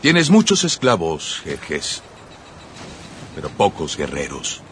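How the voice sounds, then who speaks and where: Very deep, defiant warrior king. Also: wildly swooping pitch, heavily acted. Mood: proud defiance. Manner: measured, dryly defiant. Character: defiant male Spartan warrior king, proud, sardonic. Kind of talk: dubbed epic film acting. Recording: noisy film soundtrack clip.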